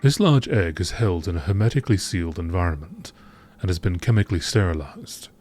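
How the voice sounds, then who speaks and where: A man's voice: deep voice, expressive, brisk, darkish tone, a little hiss or hum. A man voicing a grave, impersonal sci-fi facility log in a formal, detached manner. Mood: detached, clinical. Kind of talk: scripted sci-fi game log narration.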